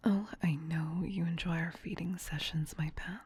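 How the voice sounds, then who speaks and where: A woman voicing a tender, possessive vampire queen in a soft, breathy, soothing ASMR whisper. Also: fairly low pitch, fairly even intonation, dry recording. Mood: gently affectionate. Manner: slow, doting, soothing.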